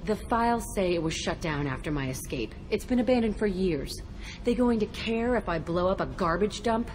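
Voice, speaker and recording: An actress playing an embittered, tough young ex-prisoner in a video game, blunt, terse and profane. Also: female voice, medium pitch, lively intonation, normal speed, darkish tone, a little hiss or hum, natural voice, low-quality recording. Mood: angry, defiant. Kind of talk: video game dialogue acting.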